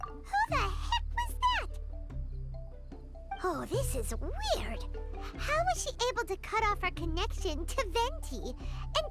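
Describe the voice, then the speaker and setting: A woman voicing a tiny, jumpy, protective fairy girl with a squeaky childlike voice in a video game. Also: huge pitch swings, slow pace, heavily acted. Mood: puzzled, uneasy. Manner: refers to self in third person, squeaky, exclaiming. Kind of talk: video game cutscene acting. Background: music.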